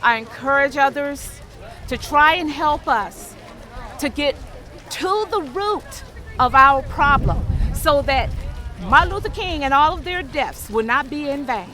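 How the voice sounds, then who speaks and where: A middle-aged woman with a high voice speaks in an unhurried, measured way. She sounds earnest and deliberate, giving a street interview outdoors. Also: lively intonation, pushed and bright, faint music behind, a faint crowd. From Detroit, Michigan. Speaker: protester, traveling nurse.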